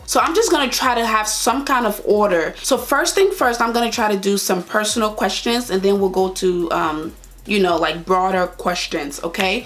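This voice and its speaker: A young adult woman with a Liberian accent, chatting casually and directly to her viewers as a vlogger. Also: mid-range voice. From Liberia, raised partly in Ivory Coast. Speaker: vlogger answering viewer questions. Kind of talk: casual chat to camera.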